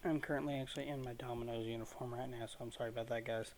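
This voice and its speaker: A man hosts a casual let's play from a room at home. He speaks in a low-key, restrained way with little pitch movement, at a middling pitch, over faint background noise. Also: steady tone, very fast, a little mellow. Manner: low-key, keeping his volume down. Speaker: gaming video host.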